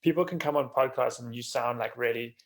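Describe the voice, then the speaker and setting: A man hosts a relaxed podcast conversation in a creaky, mid-pitched voice. He thinks aloud informally, feeling his way toward each point in halting phrases. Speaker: podcast host. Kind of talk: casual interview conversation.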